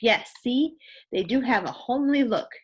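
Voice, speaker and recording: A woman's voice: on the high side, expressive, moderate pace, breathy edge, pitched above the performer's own voice, poor audio. A woman voicing a cheerful, literal-minded housemaid in a children's storybook, earnest and folksy. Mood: proud, earnest. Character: cheerful, literal-minded housemaid, puzzled but eager to please. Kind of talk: children's storybook read-aloud.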